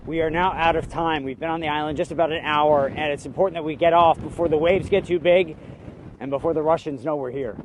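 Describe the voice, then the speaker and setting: American male field reporter, fairly high voice, emphatic phrasing, outdoors with slight ambient noise. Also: steady tone, fast talker. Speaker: TV news reporter. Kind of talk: on-location reporting and interviewing. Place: outdoors on location. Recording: faint outdoor background noise.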